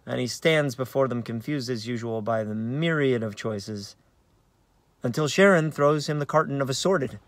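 A man in his thirties with an American accent, an actor reading a play as conversational, halting narration with uneven emphasis, recorded inside a car. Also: medium pitch. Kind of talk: dramatic narration of a play read on book. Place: inside a parked car in daylight.

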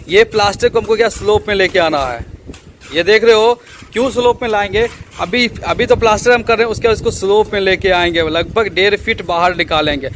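High-voiced man explaining on a building site. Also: fairly bright tone, a little hiss or hum. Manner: explanatory, asks himself questions then answers. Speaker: construction contractor explaining site work. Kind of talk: casual on-site tutorial, walking and pointing. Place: outdoor construction site by a basement wall. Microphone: handheld phone camera.

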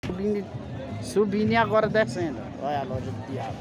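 A friendly man with a Northeastern Brazilian accent and a fairly high voice walks through an outdoor market, greeting vendors with blessings. His talk sits inside loud crowd chatter and heavy music from the stalls. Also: lively intonation, fairly quick. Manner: friendly, points things out with repeated "olha aí, ó", religious greetings. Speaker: vlogger filming a street market. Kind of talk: casual walking vlog, greeting vendors. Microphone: handheld phone camera.

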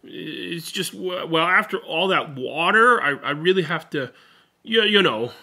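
A man's voice: on the high side, expressive, unhurried, gravelly, bright, forceful tone, husky, exaggerated voice. A man voicing a nervous, stalling sheep in a bedtime storybook, hesitant and stammering.

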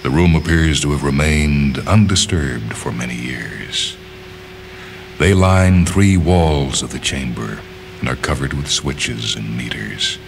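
Very deep-voiced man reading a story. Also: takes it slowly, vocal fry, soft background music. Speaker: story reader and narrator. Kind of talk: scripted narration of a literary short story.

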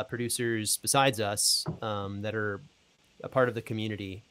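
A young man speaking as a guest producer, explaining things in a measured, matter-of-fact way into a close microphone. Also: on the low side, fairly bright tone. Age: young adult. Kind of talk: explanatory talk. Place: small indoor room, video call. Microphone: close desk microphone.

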